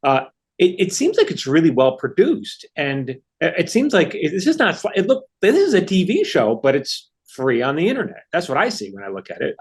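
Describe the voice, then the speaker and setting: A man's voice: a little high, wildly swooping pitch, fairly quick, husky. A man hosting a podcast, asking eager, enthusiastic questions and often restarting his sentences. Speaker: podcast host, interviewer. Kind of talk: casual interview chat.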